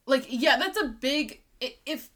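A woman co-hosting a casual podcast, chatty and quick with follow-up questions and jokes. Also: sits high, animated, pushed and bright. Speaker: podcast co-host. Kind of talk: casual chat.

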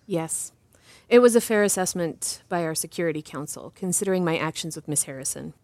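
A woman playing an earnest, principled doctor who answers interview questions in a formal, precise and measured way. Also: on the low side, lively intonation, darkish tone, no room sound. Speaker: interview guest. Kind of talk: scripted drama interview.

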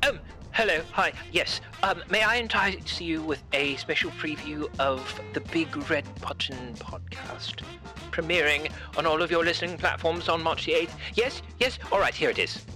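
A man's voice: fairly high pitch, moderate pace, over music, natural voice. A man voicing a fussy, polite robot butler with a pedantic, exasperated manner in a roleplaying audio drama. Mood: polite, eager. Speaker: player in an actual-play audio drama.

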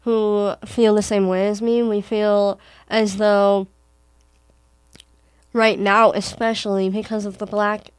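Slow, halting teenage girl from Wisconsin. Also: mid-range voice, level tone, smooth, no echo. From Wisconsin, USA. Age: teens. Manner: thoughtful, halting, with frequent restarts. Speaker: daughter in conversation with her mother. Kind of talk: casual conversation.

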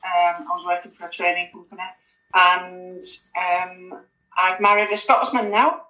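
Woman, bright voice, echoey room. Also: fairly low pitch, steady tone, unhurried, soft background music. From West Yorkshire, England. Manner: chatty, hesitant, with many fillers and restarts. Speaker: podcast guest. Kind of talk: casual conversational interview.

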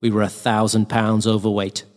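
A man's voice: lowish voice, monotone, normal speed, darkish tone, lightly acted. A man voicing a wry wartime airman's diary in clipped, telegraphic phrases. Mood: wry, weary. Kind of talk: diary reading. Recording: long hall-like reverb.

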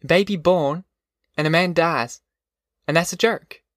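A man voicing a soothing, plainspoken middle-aged farm mother in an audiobook, soft-spoken, with a rural Southern American accent. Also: a little high, unhurried, no echo, studio quality, pitched above the performer's own voice. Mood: soothing, assured. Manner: soft-spoken, folksy, reassuring. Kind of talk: audiobook character dialogue.

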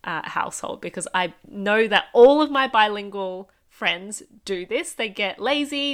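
A woman's voice: mid-range voice, a bit bright. A woman in her thirties with an Australian accent, hosting a casual solo business podcast and chatting upbeat and directly to the listener.

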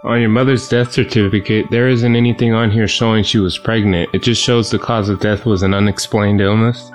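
An actor playing a polite, inquisitive grandson in an audio drama, speaking matter-of-factly. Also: male voice, fairly low pitch, steady tone, brisk, soft background music, lightly acted. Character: inquisitive grandson researching his family's past.

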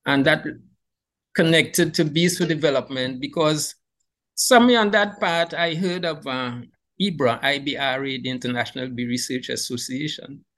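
A middle-aged man from Trinidad and Tobago with a Trinidadian accent, telling a warm, animated life story as a podcast guest. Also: normal speed. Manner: animated, rises in pitch when excited. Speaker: guest beekeeper. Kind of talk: storytelling conversation.

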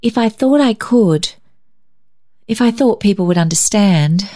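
A woman's voice: medium pitch, expressive, measured pace, mellow, pitched above the performer's own voice, natural voice. A woman reading a story, voicing an earnest, anxious young woman with an emotional, hesitant manner. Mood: hesitant dread. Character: Barbara, earnest, easily shocked young woman, anxious sister.

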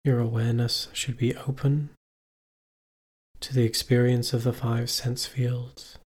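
A man leading a guided meditation as a teacher, giving calm, measured instructions with long pauses between phrases. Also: medium pitch.

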